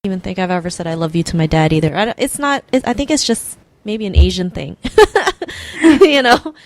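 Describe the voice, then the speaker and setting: A woman's voice: fairly low pitch, a little fast. A woman hosting a podcast, introducing her guest and chatting in a friendly, upbeat way. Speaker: podcast host. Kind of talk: casual chat.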